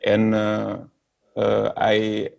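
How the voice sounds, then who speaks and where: Senegalese man, hesitant panel talk, gravel in the voice. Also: fairly low pitch, completely flat delivery, drawn-out words, darkish tone. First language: French. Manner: hesitant, many fillers and restarts; earnest, apologetic about his English. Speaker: panel speaker. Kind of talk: halting live-stream talk. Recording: dull lo-fi stream audio.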